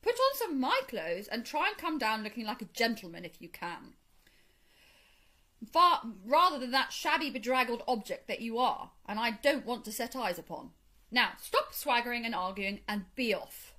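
Storybook water rat acted by a woman: sensible, scolding gentleman, bright and forceful, emphatic phrasing. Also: fairly high pitch, sing-song, heavily acted. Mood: stern. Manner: brisk, emphatic, admonishing.

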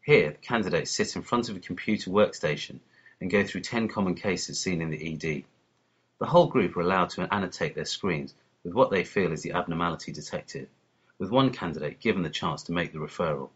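A man who is a doctor and course lead reads a scripted promotional narration in a formal, measured manner. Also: deep voice, husky. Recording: muffled lo-fi voice-over.

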